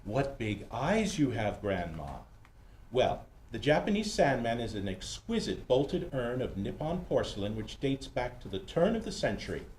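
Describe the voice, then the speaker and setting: A man's voice: mid-range voice, big pitch swings, normal speed, darkish tone, natural voice. A man playing a flamboyant, fussy, affectionate photographer sidekick in an old-time radio detective play, with ornate, theatrical phrasing. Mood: knowing, ornate. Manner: theatrical, ornate, coy endearments. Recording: roomy, noisy live stage recording.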